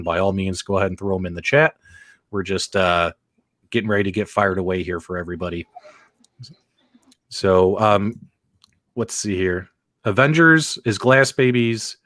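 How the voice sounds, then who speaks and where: A man's voice: lowish voice, a bit bright, no room sound, clean studio sound. A man hosting a casual live talk show about video game movies, chatty and laughing, with frequent fillers. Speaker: host. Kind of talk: casual livestream chat.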